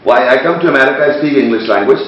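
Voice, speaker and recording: A man's voice: mid-range voice, very fast, a bit bright. A man from Pakistan whose first language is Punjabi, arguing emphatically and repeating his questions. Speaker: discussion participant. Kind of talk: heated debate. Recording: dull echoey recording from far off, faint background noise.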